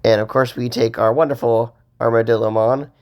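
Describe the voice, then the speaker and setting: A man with a cold casually commenting on gameplay as host, rambling with fillers and pausing for breath. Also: mid-range voice, fairly even intonation, a little mellow. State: has a cold.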